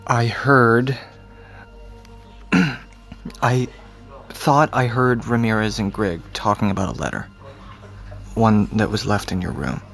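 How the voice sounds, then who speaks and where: A man's voice: fairly low pitch, takes it slowly, vocal fry, long pauses, fairly bright tone, faint music behind, full character voice. An American man playing a reluctant, caring, sardonic teenage boy in an audio drama, casual and understated. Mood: cautious, gentle. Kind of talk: naturalistic audio drama dialogue.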